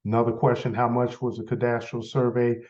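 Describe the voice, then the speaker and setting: A man with a cold, sounding a little stuffy, leading a members' meeting in a halting, filler-heavy way. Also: medium pitch, completely flat delivery, darkish tone, muffled recording. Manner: halting, many fillers and restarts. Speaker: association leader running a meeting. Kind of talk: casual meeting update.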